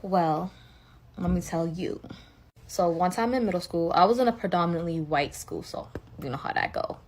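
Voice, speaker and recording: A woman's voice: on the low side, normal speed, slight background noise. A young woman telling a candid story and then ranting bluntly to her followers in casual slang. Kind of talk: candid storytelling and direct-to-camera rant.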